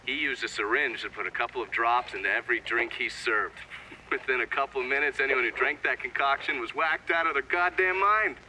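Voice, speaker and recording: An actor playing a smug, cynical intelligence operative giving testimony in a video game cutscene. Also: male voice, mid-range voice, brisk, background noise, natural voice.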